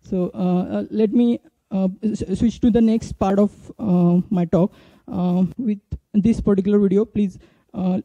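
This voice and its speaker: A man in his thirties with an Indian accent giving an informal demo talk as a conference speaker, haltingly, into a handheld microphone. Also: high-pitched, steady tone, mellow, dry recording. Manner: halting, hesitant delivery. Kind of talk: informal flash talk with live app demo. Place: indoor conference stage. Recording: dull, muffled stage recording.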